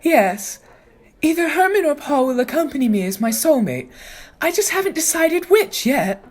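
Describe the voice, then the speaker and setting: A woman playing a dreamy, smitten mature woman with an airy manner and a husky voice in a radio play. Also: sits high, sing-song, normal speed, darkish tone. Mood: breezy.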